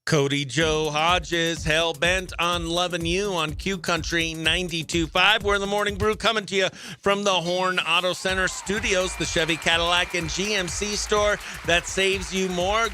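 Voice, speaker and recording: A man's voice: high voice, steady tone, moderate pace, few pauses, pushed and bright, slight background noise. A man hosting casual morning radio chat in an upbeat, effusive, chatty manner. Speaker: radio host; stand-up comedian. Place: radio studio.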